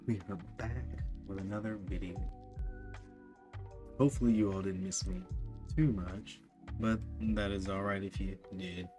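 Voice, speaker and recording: A man's voice: lowish voice, steady tone, unhurried, pauses often, a little mellow, soft background music. A man hosting a reaction video, talking in laid-back, slangy banter. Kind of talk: casual reaction commentary.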